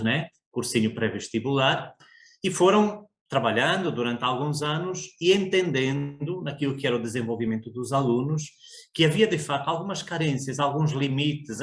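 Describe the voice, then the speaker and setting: A middle-aged man from Portugal with a European Portuguese accent, speaking as a podcast guest in a measured, reflective way. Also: mid-range voice, fairly bright tone. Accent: European Portuguese, Coimbra. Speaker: guest, school coordinator. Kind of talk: conversational podcast interview. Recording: roomy echo.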